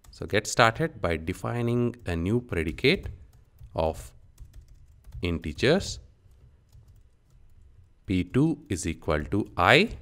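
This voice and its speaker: Deep-voiced man teaching programming step by step. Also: a bit bright, clean tone. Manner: instructional, clipped short phrases. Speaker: programming instructor. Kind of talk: step-by-step software tutorial, dictating as typing.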